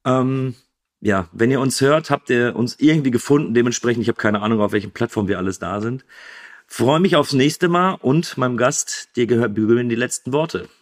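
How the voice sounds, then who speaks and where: A man in his thirties hosting a podcast, talking in a relaxed, chatty way with frequent fillers. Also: medium pitch, a little fast. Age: 30s. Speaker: podcast host. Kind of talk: casual podcast conversation.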